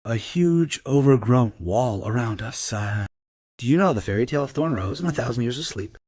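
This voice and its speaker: An idle, musing provincial policeman, with a husky, mid-pitched voice. The recording is dry, as dialogue in a crime radio play. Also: expressive, normal speed, darkish tone, dry recording. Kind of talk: crime audio drama dialogue.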